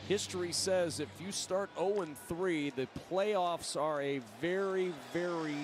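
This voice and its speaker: A man's voice: high voice, measured pace. A man giving football play-by-play in broadcast commentary style. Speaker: play-by-play announcer. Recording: noisy game broadcast audio.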